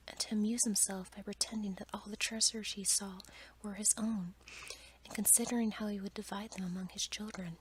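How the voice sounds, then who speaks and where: A woman whispers a fairy tale in a soft, breathy, careful ASMR bedtime-storytelling style. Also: medium pitch, a little hiss or hum, low-quality recording. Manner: soft, careful read-aloud delivery.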